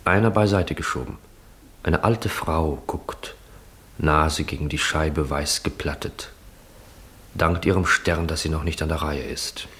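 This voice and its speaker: An actor playing the sober, observant narrator of a German radio play, describing scenes in a measured way. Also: male voice, rumbling low, fairly bright tone. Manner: measured, descriptive, present-tense. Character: sober, observant male narrator of a funeral procession. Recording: noisy old radio drama recording.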